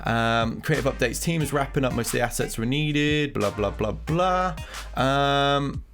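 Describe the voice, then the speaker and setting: A man's voice: mid-range voice, measured pace, a bit bright, soft background music. A man with a cold and a nasal voice, giving casual commentary on game news as a gaming content creator. He rambles and thinks aloud as he reacts.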